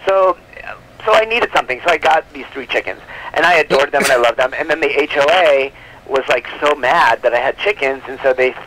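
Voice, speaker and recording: A man's voice: mid-range voice, a little fast, a bit bright, low-quality recording. A man talking casually as an interview guest, telling humorous stories in a chatty, self-mocking way with frequent "like". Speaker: interview guest, comic book creator.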